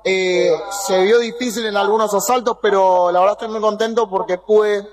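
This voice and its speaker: A man's voice: high voice, fairly even intonation, moderate pace, long reverb tail, crowd noise. A young man talking after a competition, hesitant and modest, with many fillers. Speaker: athlete interviewed. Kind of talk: post-competition interview.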